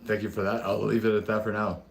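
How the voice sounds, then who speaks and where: A man with a deep voice that is a bit raspy today talks through his ideas on an online panel. He speaks through a headphone mic, and there is a faint hiss behind him. He often pauses and uses fillers as he works out his thoughts. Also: level tone. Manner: thoughtful, halting, many fillers. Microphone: headphone mic on a video call.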